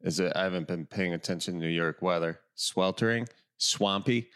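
A man in his late thirties with a Wisconsin accent and a very low voice hosts a relaxed podcast conversation. He wanders through his stories, pausing on "um" and "uh" between thoughts. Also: smooth. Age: 30s. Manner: easygoing, rambling, with "um" and "uh" pauses. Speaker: podcast host. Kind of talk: casual podcast chat.

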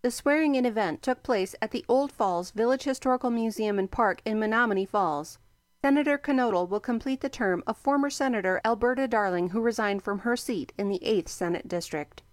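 A woman reading a scripted radio news bulletin from the news desk, in a brisk, even and formal delivery. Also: fairly high pitch, no room sound. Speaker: newsreader.

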